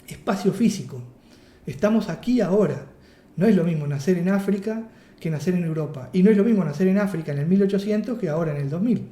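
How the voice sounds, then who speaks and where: A man's voice: sits high, mellow, roomy sound. A man with a Rioplatense Spanish accent teaching a workshop in a playful, chatty way, asking and answering his own questions and slipping in jokes. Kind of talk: workshop lecture.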